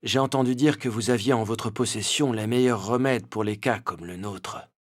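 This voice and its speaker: A man playing an anxious young farmer and husband, hesitant and imploring, with a husky voice, in a children's story. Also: mid-range voice, normal speed, close to an everyday voice. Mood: hesitant, worried.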